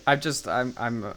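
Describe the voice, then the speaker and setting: A man with a medium-pitched voice talks casually as a podcast co-host. He is wry and jokey as he gives his opinions. Laughter comes easily to him. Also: fairly bright tone. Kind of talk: casual chat reviewing audio dramas.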